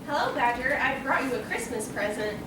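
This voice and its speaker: A woman voicing a friendly, excitable squirrel in a storybook readers' theater. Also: sits high, brisk, close to an everyday voice. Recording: echoey room, distant mic, noisy.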